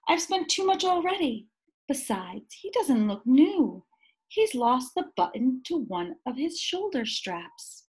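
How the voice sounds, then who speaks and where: A woman voicing a tired mother in a storybook, gently refusing with a sighing manner. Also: sits high, big pitch swings, takes it slowly, exaggerated voice, rough recording. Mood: weary refusal.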